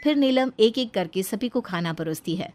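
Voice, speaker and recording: A woman's voice: mid-range voice, animated, moderate pace, a little mellow, a little hiss or hum. A woman with an Indian accent giving scripted narration for a cartoon story.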